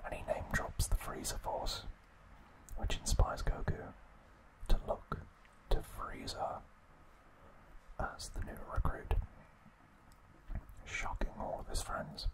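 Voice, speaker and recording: A man whispering an ASMR trivia recap in a breathy, unvoiced, hushed voice with gentle, halting pauses. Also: rough recording. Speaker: ASMR host.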